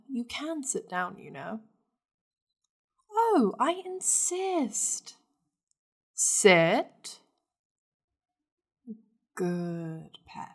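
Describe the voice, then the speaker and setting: A woman's voice: mid-range voice, creaky, full character voice. A woman voicing a possessive, wealthy demon lady in an intimate ASMR roleplay, flirtatious, teasing and commanding with haughty endearments. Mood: smug, playful.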